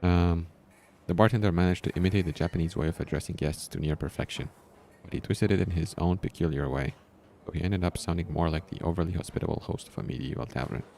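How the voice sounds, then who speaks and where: A man streaming a visual novel, reading its story text aloud in an unhurried way with casual asides. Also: sits low, creaky, dark tone. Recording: music playing behind the voice. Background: background game music.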